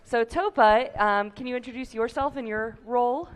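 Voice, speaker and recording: A woman hosting a casual livestream chat, upbeat and quick to laugh, with a slightly tired voice. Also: fairly high pitch, clean tone. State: tired voice, a little deep. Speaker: host.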